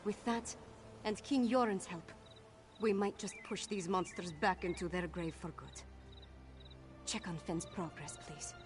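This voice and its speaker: A woman's voice: on the low side, unhurried, faint music behind, close to an everyday voice. An actress playing a resolute, grave and caring female warrior leader in a video game. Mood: grave, determined.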